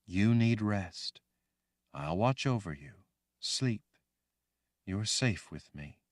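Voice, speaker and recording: A man voicing a gentle, soothing motherly woman spirit, speaking softly in an audiobook reading. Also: lowish voice, lively intonation, takes it slowly, no room sound, clean studio sound.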